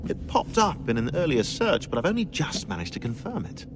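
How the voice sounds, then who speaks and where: A brainy game researcher with a hugely varied, swooping intonation. He is brisk and conversational, with clear ambient noise behind him. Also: medium pitch, wildly swooping pitch. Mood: matter-of-fact. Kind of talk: conversational video game acting.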